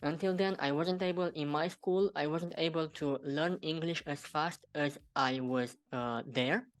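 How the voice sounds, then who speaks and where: A man hosting his own channel, interviewing a guest at a deliberate pace with many fillers. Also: on the high side, fairly even intonation, faint music behind.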